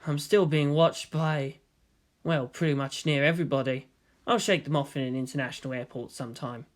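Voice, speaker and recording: A man's voice: fairly high pitch, fairly even intonation, fairly quick, natural voice. A guarded teenage boy commander as a man plays him in a story reading. He broods, keeps his words terse and has a dry, wry manner. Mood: guarded, weary.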